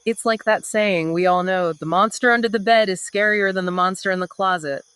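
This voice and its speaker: A woman's voice: medium pitch, fairly even intonation, brisk, fairly bright tone, smooth, no room sound. A woman co-hosting a podcast in a conversational literary discussion, with dramatic storytelling emphasis. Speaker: podcast co-host.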